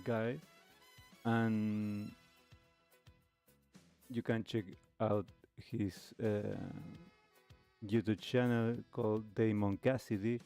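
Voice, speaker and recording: A man hosting a show and giving unscripted commentary in a halting, hesitant way. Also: lowish voice, level tone, mellow, clean tone, faint music behind. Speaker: show host. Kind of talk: unscripted commentary introducing a video.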